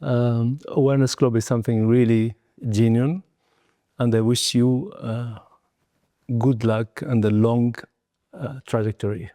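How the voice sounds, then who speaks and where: A man's voice: medium pitch, fairly even intonation, unhurried, warm tone, no echo, studio quality. A man in his sixties from Tunisia, a non-native speaker, talking reflectively as an interview guest in hesitant, broken phrasing. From southern Tunisia. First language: not English. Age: 60s. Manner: hesitant, broken phrasing in non-native English, frequent "you know" and "eh" tags. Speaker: guest, martial arts and Qigong teacher. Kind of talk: reflective personal interview talk.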